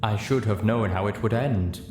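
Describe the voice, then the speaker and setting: A man voicing a gentle, disappointed female zebra who speaks in measured, exotic rhyme for a story reading. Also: fairly low pitch, close to an everyday voice. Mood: wistful. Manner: poetic, rhyming, measured. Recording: roomy, clearly noisy home recording.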